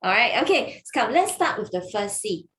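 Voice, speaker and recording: A woman's voice: medium pitch, very animated, fairly quick, fairly bright tone. A woman from Malaysia, a gemologist hosting a webinar in a chatty, teasing way with frequent laughs, speaking over a video call. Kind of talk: casual webinar talk.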